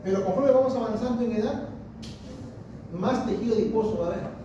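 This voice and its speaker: A man with a high voice teaches a histology class in a very echoey room, picked up from a distance. He repeats himself, restarts phrases and asks students questions, with steady room noise behind him. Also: fairly quick, highs cut off. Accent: Peruvian Spanish. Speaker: histology professor. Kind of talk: classroom lecture. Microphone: distant room mic. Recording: echoey classroom recorded from afar.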